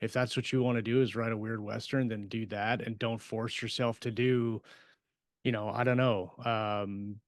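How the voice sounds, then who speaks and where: Smooth, clear man chatting haltingly. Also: on the low side, steady tone, no room sound, clean studio sound. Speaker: podcast co-host, author. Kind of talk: casual chat.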